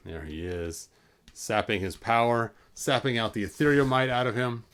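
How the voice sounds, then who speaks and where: Man, medium pitch, livestream host, casual storybook narration, informal, occasional stumbles and asides. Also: moderate pace, fairly bright tone, clear, resonant tone. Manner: informal, stumbles over words and breaks off for asides. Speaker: host reading a storybook aloud to online viewers.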